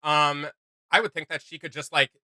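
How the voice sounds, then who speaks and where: A man co-hosting a reaction video, chatting casually in an animated, rambling way. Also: on the high side, moderate pace, no echo.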